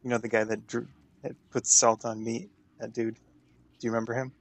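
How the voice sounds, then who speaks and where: A man's voice: medium pitch, level tone, moderate pace. A man talking casually as a player during a tabletop roleplaying game.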